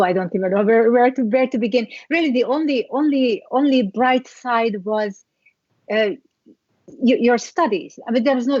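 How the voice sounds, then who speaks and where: A woman's voice: mid-range voice, a little mellow, dry recording, muffled recording. Hungarian-accented woman telling her story. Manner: reflective, halting with many restarts, laughs lightly. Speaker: guest, skincare founder. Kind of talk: interview storytelling.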